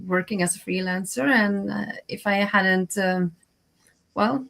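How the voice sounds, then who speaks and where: A woman's voice: mid-range voice, gravelly, a bit bright. A Norwegian woman, a freelance translator, speaking as a guest on a video call, hesitant with many fillers. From Norway. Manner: slow, hesitant, many "uh"s, laughs easily. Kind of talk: interview answers.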